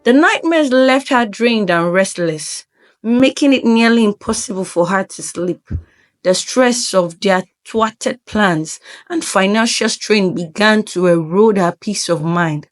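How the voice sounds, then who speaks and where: Slow, solemn woman storyteller. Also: medium pitch. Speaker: storyteller, narrator. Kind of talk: dramatic scripted folktale narration.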